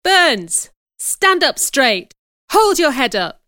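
A woman's voice: high-pitched, very animated, slow pace, pushed and bright, dry recording, clean studio sound, voice pushed up, heavily acted. A woman voicing a cruel, furious schoolmistress in an audiobook, barking and scolding shrilly. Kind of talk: audiobook character voice.

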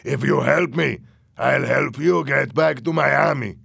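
A man playing an angry, defiant gunman in a story reading, shouting belligerent threats and demands. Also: medium pitch, unhurried, vocal fry, breathy edge, no room sound, studio quality, pitched above the performer's own voice, heavily acted. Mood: tense, commanding.

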